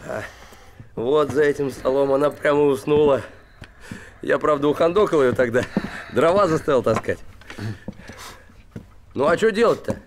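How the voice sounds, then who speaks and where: An actor in his thirties playing a gruff, warm-hearted small-town handyman, lovesick and bitter, talking in blunt colloquial speech in a naturalistic TV drama. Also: on the high side, normal speed, long pauses, slight background noise, lightly acted. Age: 30s. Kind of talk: naturalistic television drama acting. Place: small wooden house room.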